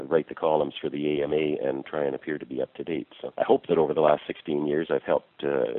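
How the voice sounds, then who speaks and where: An older man with a bass voice, a retiring physician answering interview questions in a measured, explanatory way with frequent fillers. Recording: tinny phone-like line, dry.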